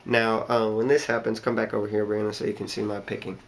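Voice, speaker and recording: A man's voice: fairly low pitch, flat delivery, quick pace, gravelly. A young man with a slightly scratchy voice from a cold, hesitantly explaining a guitar part with many ums and restarts. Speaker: guitar teacher. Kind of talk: casual guitar tutorial. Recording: guitar playing throughout.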